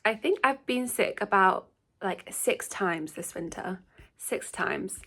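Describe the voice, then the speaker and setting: A woman's voice: mid-range voice, animated, takes it slowly, sharp tone, husky. A young woman talks in a loose, scattered way, hopping from thought to thought. Her voice sounds stuffy and congested from a lingering cold. She sits at home in a shared house and chats casually to the camera. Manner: chatty, rambling, scattered. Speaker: beauty vlogger. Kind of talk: casual get-ready-with-me chat.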